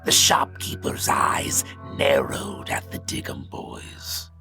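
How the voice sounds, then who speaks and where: A man reading scripted story narration in a gravelly voice. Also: rumbling low, steady tone, takes it slowly. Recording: music bed behind the voice.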